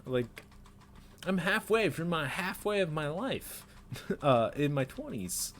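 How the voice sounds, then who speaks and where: A man's voice: fairly high pitch, very animated, takes it slowly, a bit bright, slight background noise. A man in his twenties rambling self-critically in an unedited personal vlog, with nervous laughs and many fillers, recorded in a small bedroom on a desk webcam. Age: 20s. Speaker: vlogger.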